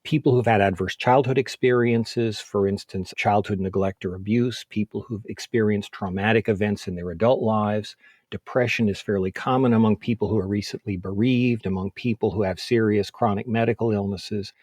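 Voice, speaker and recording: A man with a lowish, husky voice speaks as a medical expert. He lays out his explanation point by point in a measured way, and the recording is dry with very little room sound. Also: fairly even intonation, darkish tone, dry recording. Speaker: physician, expert interview guest.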